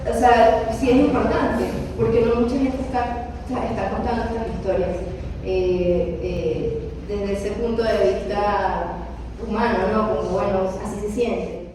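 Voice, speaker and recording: A woman's voice: medium pitch, steady tone, a little slow. A woman author answering audience questions in a chatty way, restarting phrases and laughing easily. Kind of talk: public Q&A talk. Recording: echoey hall, mic from far off, noisy.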